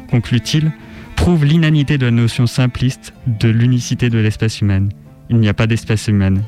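A man's voice: mid-range voice, warm tone. A man giving a formal, emphatic, rhetorical reading of a literary text.

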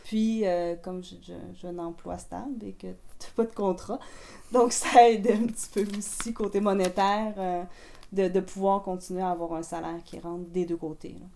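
A woman's voice: on the low side, fairly even intonation, moderate pace, creaky, warm tone, a little hiss or hum. A pregnant woman with a Québécois accent, answering interview questions in casual, hesitant talk full of restarts. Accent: Québécois French. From Quebec. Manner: hesitant, many fillers and restarts. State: eight months pregnant.

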